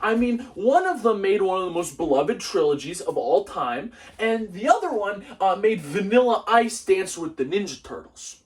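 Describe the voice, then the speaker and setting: Animated high-pitched man reviewing movies. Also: normal speed, hardly any pauses, recorded from a distance. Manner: animated, exaggerated, sarcastic, swearing. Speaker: video reviewer, host. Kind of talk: casual comedic film review commentary.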